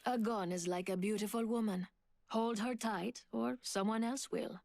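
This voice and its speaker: An actress playing a poised, confident, seductive thief in a video game, with cool, teasing delivery. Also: female voice, fairly high pitch, steady tone, normal speed, gravelly, a little mellow, no room sound. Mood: teasing confidence. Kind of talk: video game character voice lines.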